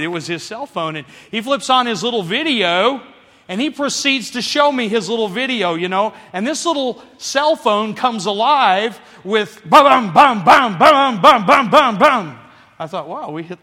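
A man's voice: high-pitched, normal speed, long reverb tail. A man preaching a sermon, telling a story and calling on the congregation to answer him. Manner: animated, calls for congregation response.

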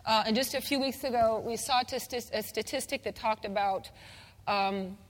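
A woman's voice: on the high side, moderate pace. A woman preaching a sermon, calling out for amens and repeating phrases with rising fervor. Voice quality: singer. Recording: long hall reverb.

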